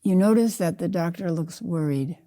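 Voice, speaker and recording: Older woman with a very dark, mellow voice. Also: on the low side. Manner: measured, deliberate, spoken in short phrases with pauses. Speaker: Zen teacher leading a guided meditation. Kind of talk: slow guided meditation narration.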